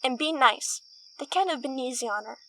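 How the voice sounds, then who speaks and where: Woman voicing a high-pitched, caring little filly. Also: brisk, background noise. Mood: gentle concern. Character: timid, caring young filly in a horror fanfic. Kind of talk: amateur audio drama acting.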